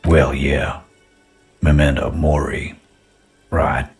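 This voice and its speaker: An actor playing a gruff, bruised union tough in a video game, grieving but proud, blunt and profane, with a gravelly voice. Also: male voice, rumbling low, fairly even intonation, slow, full character voice. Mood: defiant, weary. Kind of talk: video game character acting. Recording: music behind the voice.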